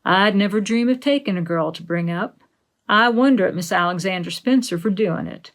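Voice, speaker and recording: A woman voicing a stern, practical middle-aged farm woman, measured and matter-of-fact, in a storybook reading. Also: medium pitch, lightly acted. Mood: dry.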